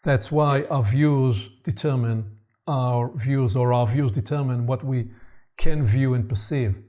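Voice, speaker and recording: Mellow-voiced Israeli-born man, reflective. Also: medium pitch. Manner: slow, searching, repeats words as he thinks. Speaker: host. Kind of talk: reflective conversation on personal development.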